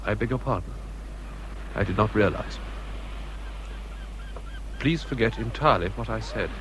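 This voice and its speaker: An actor playing a courteous, gallant English naval captain in a period radio drama, formal and full of feeling. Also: male voice, lowish voice, normal speed, a little hiss or hum, natural voice. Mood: grave, apologetic. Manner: polite, formal, emotionally expressive. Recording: busy outdoor crowd sounds behind.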